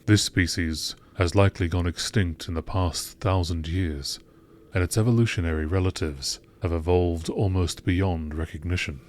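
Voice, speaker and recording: Low-pitched man, documentary narration. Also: fairly even intonation, moderate pace. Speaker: narrator reading game lore entries. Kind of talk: scripted nature documentary narration.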